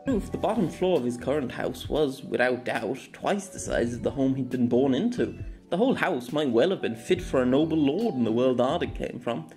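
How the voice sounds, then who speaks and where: A man's voice: mid-range voice, a little mellow. Man narrating a fantasy novella.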